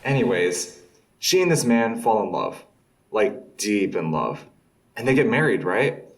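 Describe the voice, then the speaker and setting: A man's voice: fairly low pitch, very animated, a little slow. A young man acting as a defensive guy casually telling a story to friends in an audio drama. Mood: casual storytelling. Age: young adult. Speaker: student audio drama actor. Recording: slightly distant from the mic.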